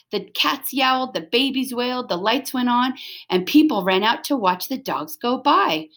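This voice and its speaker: Woman, medium pitch, children's storybook narration, expressive, dramatic emphasis. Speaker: storybook reader.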